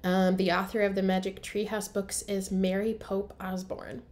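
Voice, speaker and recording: A woman's voice: mid-range voice, flat delivery, moderate pace, darkish tone. A woman, a primary school teacher, reading a storybook aloud in simple phrasing for young children. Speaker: first grade teacher.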